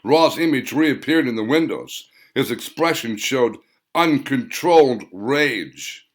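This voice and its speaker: A man narrates a story in a mid-pitched voice that rises and falls with great movement. His phrasing is emphatic and theatrical, suited to a dramatic audiobook of his own fiction. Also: lively intonation, slow. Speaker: author reading own novel.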